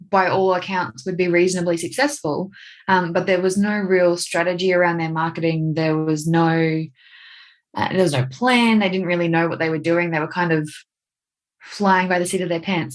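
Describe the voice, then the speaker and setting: A young adult Australian woman with a somewhat low voice talks through a podcast interview. She is chatty and candid and often pokes fun at herself. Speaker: guest, marketing agency owner.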